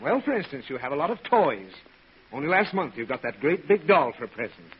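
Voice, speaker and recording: A frazzled, short-tempered dad in a vintage radio comedy. His high voice swings widely as he groans and sputters in exasperation. It is a muffled, noisy old recording with an audience. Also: sing-song, brisk. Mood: lecturing. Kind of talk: 1940s radio comedy acting.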